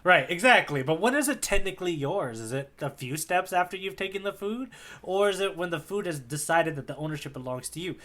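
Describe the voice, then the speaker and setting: A man's voice: high voice, lively intonation, brisk, fairly bright tone. A man co-hosting a podcast, telling a story in a casual, rambling, jokey way. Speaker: podcast co-host. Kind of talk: casual chat recapping a manga chapter.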